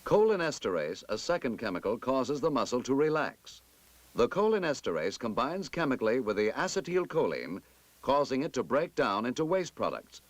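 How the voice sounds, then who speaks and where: A man narrating a scripted 1960s military instructional film in a formal, emphatic, measured manner. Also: medium pitch, sing-song, no room sound.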